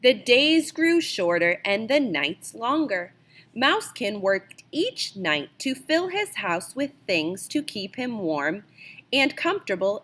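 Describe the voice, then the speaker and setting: A woman reading a children's picture book aloud as an expressive storyteller, with dramatic emphasis. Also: on the high side. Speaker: storybook reader.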